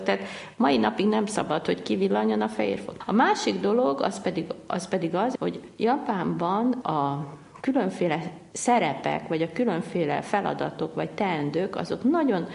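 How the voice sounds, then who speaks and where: A woman's voice: fairly low pitch, creaky, a little mellow. A middle-aged woman from Hungary, a linguist being interviewed on the radio, explaining at length with many hesitations and restarts. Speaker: linguist guest.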